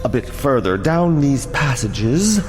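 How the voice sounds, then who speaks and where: A man's voice: mid-range voice, very animated, slow pace. An actor playing a scheming, falsely solicitous nobleman in a gothic radio drama, with a theatrical, obsequious and coaxing manner. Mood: coaxing, sly. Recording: long hall reverb, music underneath.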